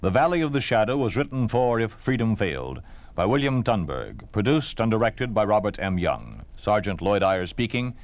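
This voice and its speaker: A man's voice: lowish voice, very animated, moderate pace, no room sound, lo-fi sound. An American man announcing in a scripted, grave and declarative 1950s radio style. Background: prominent music.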